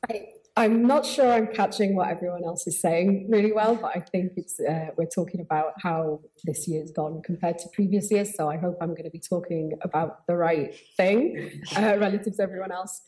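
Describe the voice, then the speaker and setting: A tired woman with a slightly croaky voice, talking informally as a remote panellist on a video call. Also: on the low side, fairly even intonation, moderate pace, mellow, poor audio. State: tired after a festival. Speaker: horror festival co-director, remote panellist.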